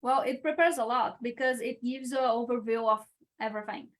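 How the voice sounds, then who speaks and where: Young Brazilian woman, Portuguese native, speaks English with a slightly high voice and works through her thoughts with frequent pauses and restarts. Video-call interview guest. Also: fairly even intonation. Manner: hesitant, searching for words with many uh's and restarts. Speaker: guest, international engineering student. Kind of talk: live-stream Q&A answers.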